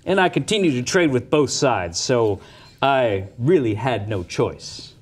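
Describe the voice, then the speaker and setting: A man playing a smooth, self-justifying space rogue turned betrayer in a comic script reading. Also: mid-range voice, lively intonation, measured pace. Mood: smug, explaining.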